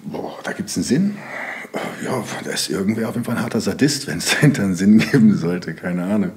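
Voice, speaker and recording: A man's voice: on the low side, fairly quick, a little mellow. A young man from Germany, speaking as a podcast guest in loose, rambling sentences full of fillers. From Germany (Sauerland). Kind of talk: casual interview talk.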